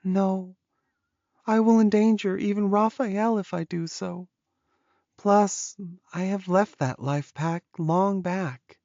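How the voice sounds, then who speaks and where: Actress as slow-spoken, anxious young werewolf woman. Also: medium pitch, fairly even intonation, warm tone, no room sound, dull audio. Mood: resigned. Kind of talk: dramatized audiobook dialogue.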